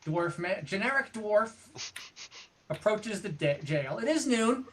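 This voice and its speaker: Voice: mid-range voice, lively intonation, measured pace, a little hiss or hum. A game master running an online tabletop game, improvising scene narration and talking through rules in a casual, conversational way.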